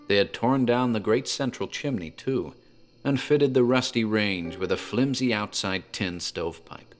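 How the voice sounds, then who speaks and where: Rural landlord, low voice, ominous horror storytelling, measured literary delivery, music behind. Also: male voice. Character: talkative rural tavern landlord telling a sordid local horror tale.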